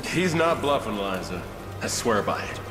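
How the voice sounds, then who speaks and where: An actor playing a roguish, loyal ex-bandit in a video game, with slangy, irreverent, wry delivery. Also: male voice, on the low side, sing-song, normal speed. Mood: earnest. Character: roguish ex-bandit mercenary man, cocky, loyal, plain-spoken. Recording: music behind the voice.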